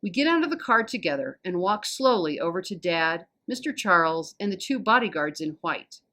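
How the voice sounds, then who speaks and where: A woman with an American accent reading a children's novel aloud as an expressive storyteller. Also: lowish voice, normal speed. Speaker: volunteer storybook reader.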